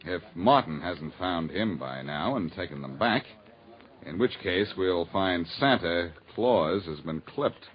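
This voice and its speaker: Deep-voiced, wisecracking detective, lively delivery, hissy muffled old radio. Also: male voice, crowd noise. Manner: wry, quick-witted. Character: wisecracking male detective, confident. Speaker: lead detective. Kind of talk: 1940s radio acting. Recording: muffled old radio recording with clear hiss.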